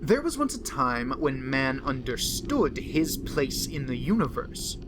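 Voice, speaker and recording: A man voicing a pompous, unhinged occult diarist who scorns humanity, in theatrical, deliberate horror narration that lingers on words. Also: mid-range voice, sing-song, noisy background. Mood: fervent, menacing. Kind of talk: dramatic horror story narration.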